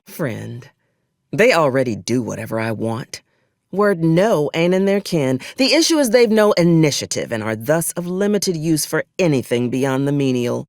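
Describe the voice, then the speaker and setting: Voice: mid-range voice, dry recording, lightly acted. An actor playing a hard-bitten, sardonic old gun hand of a military officer in a video game, with dry, folksy, formal frontier phrasing. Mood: dry, commanding. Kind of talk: video game character dialogue.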